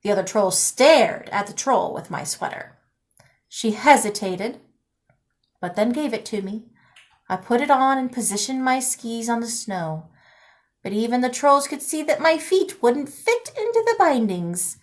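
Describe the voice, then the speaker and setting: A woman reading a picture book aloud expressively, with deliberate dramatic emphasis and pauses. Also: fairly high pitch, expressive.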